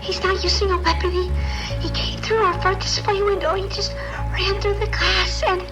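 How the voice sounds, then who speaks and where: Terrified woman caller, horror audio drama. Extremely high voice, frightened and halting, prominent music underneath. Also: level tone, rough recording. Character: terrified woman hiding from an intruder, calling dispatch.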